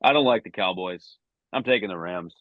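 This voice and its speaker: A man with a fairly low voice gives his sports picks with confident emphasis. He comes through a thin, phone-like line. The sound is dry and close. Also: brisk, no room sound. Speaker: podcast co-host. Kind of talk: casual sports chat. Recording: tinny phone-like remote line.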